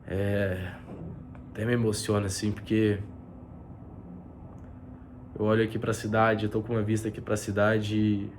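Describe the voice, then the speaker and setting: A man's voice: fairly low pitch, monotone, normal speed, long pauses, a little hiss or hum. A man speaking as a business mentor in a casual, earnest motivational talk mixing faith and money, his voice stuffy from a heavy cold, with many fillers and restarts. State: nasal and congested from a cold. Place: spot with a view over the city.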